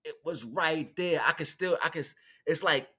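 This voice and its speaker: Bright, emphatic American man preaching casually. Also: fairly high pitch, moderate pace, slightly rough. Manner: emphatic, repeats phrases for stress; slangy, conversational, acts out imagined dialogue. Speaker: spiritual teacher and livestream host. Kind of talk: improvised spiritual talk, casual street preaching.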